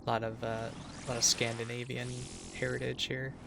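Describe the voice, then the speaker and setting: Gravelly Minnesotan man, chatty. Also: mid-range voice, completely flat delivery, moderate pace, background noise. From Minnesota, USA. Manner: chatty, restarts mid-sentence. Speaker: streamer. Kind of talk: casual chat with viewers while gaming.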